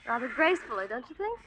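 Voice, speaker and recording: A woman's voice: a little high, big pitch swings, moderate pace. An actress playing a playful, bossy young woman who teases and commands in a 1940s radio drama. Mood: playful, teasing. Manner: teasing, lightly commanding. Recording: dull, noisy old radio recording.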